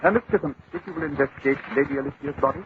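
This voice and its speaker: A man playing a shrewd, dry, commanding gentleman detective in 1940s radio style, on a tinny, noisy recording. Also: on the high side, racing, close to an everyday voice. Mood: firm.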